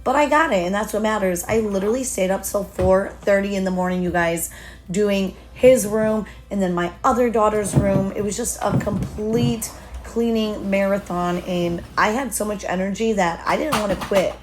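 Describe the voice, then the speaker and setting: Woman, thirties, hoarse voice, chatty upbeat voiceover, mid-pitched. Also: normal speed, background noise. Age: 30s. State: hoarse, losing her voice. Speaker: lifestyle vlogger. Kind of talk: casual voiceover narration.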